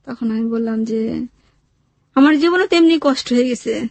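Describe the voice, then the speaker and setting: A woman's voice: on the high side, moderate pace, creaky, a little mellow, dry recording. A woman with a Bangladeshi accent recounts her own life as an interview guest, in plain colloquial speech, quoting dialogue as she goes. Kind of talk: personal storytelling, recounting with quoted dialogue.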